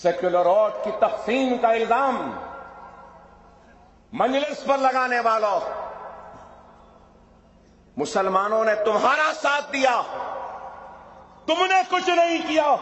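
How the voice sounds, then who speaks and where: A man delivers a fiery political speech in a strikingly high voice. He drives his points home with rhetorical questions and repeatedly climbs into shouting. The sound carries a long, roomy echo and a muffled, lo-fi tone. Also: animated, long pauses. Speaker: political speaker. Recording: echoey hall sound, dull lo-fi.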